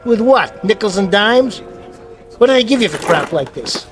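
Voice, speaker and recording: A man's voice: sits high, lively intonation, normal speed, over music. An actor playing a sour, scornful man in his thirties in a radio drama, brash, slangy and combative. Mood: mocking. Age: 30s.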